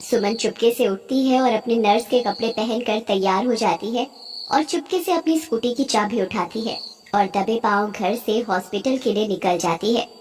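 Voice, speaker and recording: A woman's voice: fairly high pitch, moderate pace, darkish tone, a little hiss or hum. A woman narrating a scripted cartoon story as its storyteller.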